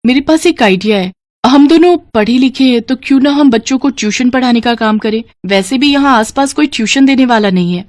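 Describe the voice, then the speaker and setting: Woman voicing a gentle, earnest young younger sister. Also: on the high side, a little fast, no room sound, clean studio sound, pitched above the performer's own voice, natural voice. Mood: hopeful.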